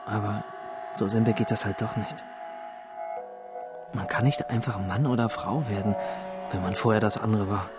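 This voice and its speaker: An actor playing an earnest, brooding wanderer in a science-fiction radio drama, speaking pensively and deliberately. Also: medium pitch, steady tone, loud music behind the voice, natural voice.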